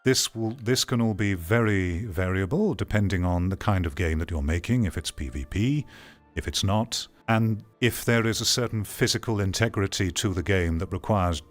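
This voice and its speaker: A man's voice: low voice, moderate pace, soft background music. A man giving blunt, opinionated live commentary as a streamer, ranting and sometimes swearing.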